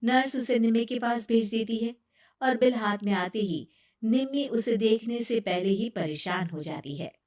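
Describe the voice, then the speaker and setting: A woman's voice: fairly high pitch, normal speed, a little mellow. A woman narrating a scripted moral story for children.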